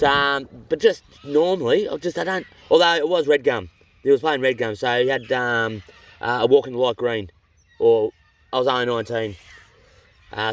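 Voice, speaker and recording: A tired Australian man in his middle years talks to his camera out in the bush by a river, and he rambles cheerfully from one thought to the next. His voice sits at an ordinary pitch, a little stuffy from a cold, and faint birdsong and outdoor noise sit behind him. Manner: chatty and rambling, with frequent restarts and slang. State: worn out, with a runny nose. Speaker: vlogger on a long canoe trip. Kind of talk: rambling casual vlog chat. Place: outdoors by a river among rocky cliffs.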